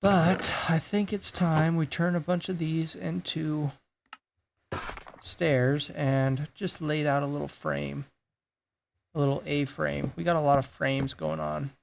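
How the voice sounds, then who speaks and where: Guy w a super gravelly raspy voice, kinda hoarse, chill gameplay commentary, bit of hiss behind. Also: on the high side, little pitch movement, slow. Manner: laid-back, thinking aloud while building. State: losing his voice. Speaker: gaming YouTuber.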